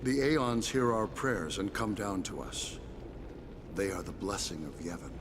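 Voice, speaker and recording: An actor playing a solemn, reverent temple priest in a video game, with a measured, ceremonial way of speaking. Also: male voice, lowish voice, animated, background noise. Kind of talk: formal video game voice acting. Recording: loud game music behind.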